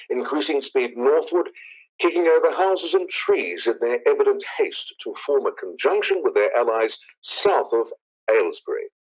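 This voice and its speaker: A man's voice: medium pitch, close to an everyday voice. A man playing a grave radio news announcer in a 1930s-style play, formally reading emergency bulletins. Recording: tinny, phone-like radio broadcast sound.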